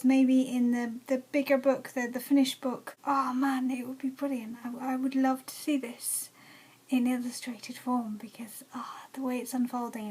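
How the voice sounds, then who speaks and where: A woman's voice: sits high, warm tone, breathy edge, rough recording. A woman giving casual, gushing reactions as she reads through a book, with an enthusiastic way of talking.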